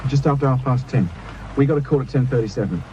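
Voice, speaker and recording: Quick-talking, mellow English police constable played by an actor. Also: male voice, medium pitch, dull audio, slight background noise, close to an everyday voice. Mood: brisk. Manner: matter-of-fact, reporting. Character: obliging, matter-of-fact male local police constable. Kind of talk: radio drama acting.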